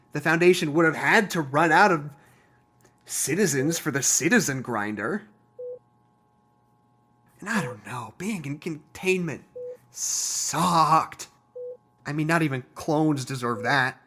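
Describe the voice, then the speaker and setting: An actor playing an earnest, resentful male scientist in an audio drama, confiding in a halting, rambling way. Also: a little high, fairly bright tone. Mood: earnest, uneasy. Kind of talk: audio drama monologue, naturalistic.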